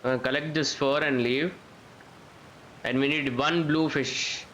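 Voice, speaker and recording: A man's voice: mid-range voice, fairly even intonation, takes it slowly, fairly bright tone, dull audio, a little hiss or hum. A man giving casual live gameplay commentary as a streamer, thinking aloud in a rambling way and repeating phrases. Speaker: game streamer. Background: game music and character voices.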